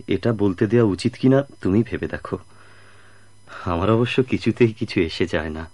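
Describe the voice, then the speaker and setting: An actor playing a patient, devoted suitor in a radio drama, measured, solemn and earnest. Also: male voice, low voice, darkish tone, no room sound. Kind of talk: melodramatic radio drama acting.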